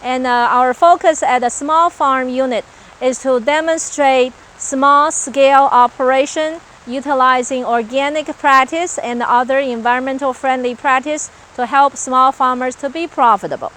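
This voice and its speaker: A woman's voice: high-pitched, a bit bright. A woman from Taiwan with a Taiwanese accent, an agricultural researcher explaining her farm work in an earnest, list-like way with some hesitations, speaking outdoors on a farm. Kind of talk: explanatory interview talk.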